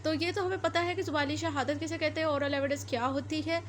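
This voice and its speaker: High-pitched Pakistani woman lecturing. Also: fairly even intonation, quick pace, a little hiss or hum. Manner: repeats and rephrases points to explain. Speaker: law lecturer. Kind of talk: explanatory lecture mixing Urdu and English.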